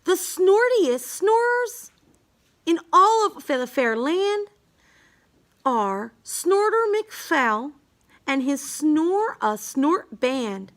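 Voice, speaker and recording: A woman reads a children's book in verse with a high, swooping voice. She takes it slowly and leans on the rhymes in a sing-song way, as a storyteller would for young listeners. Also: big pitch swings. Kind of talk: read-aloud of a rhyming children's book.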